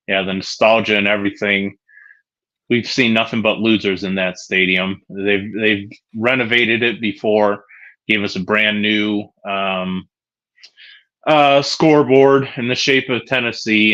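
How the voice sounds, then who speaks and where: A low-voiced man from co-hosts a casual sports podcast, his tone sharp and tense. He talks hesitantly, full of fillers and restarts, and the recording sounds dull and lo-fi. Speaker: podcast co-host.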